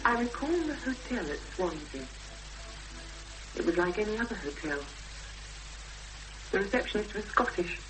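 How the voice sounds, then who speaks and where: A woman's voice: medium pitch, sing-song, normal speed, long pauses, music in the background, lightly acted, rough recording. An actress playing a determined, sceptical woman with an English accent and a terse, dry manner in a 1970s radio drama. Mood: reflective, narrating. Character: determined, sceptical Englishwoman investigating her sister's disappearance. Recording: old radio recording, hum and loud noise.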